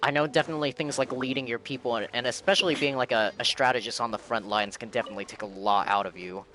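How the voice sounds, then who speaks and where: A man giving casual live reaction commentary as a game streamer, musing and halting as he thinks aloud. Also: medium pitch, fairly even intonation, sharp tone. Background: faint game music.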